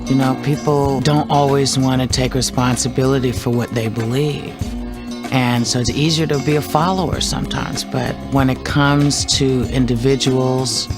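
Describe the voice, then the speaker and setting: A man's voice: mid-range voice, steady tone, normal speed. A man giving an earnest, plain-worded talk of advice. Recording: music playing behind the voice. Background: music.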